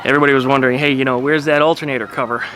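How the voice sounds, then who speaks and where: A man casually showing his car restoration work outdoors by his garage, chatty and relaxed, with lots of fillers. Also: mid-range voice, very fast, some chatter behind. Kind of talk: casual workshop vlog.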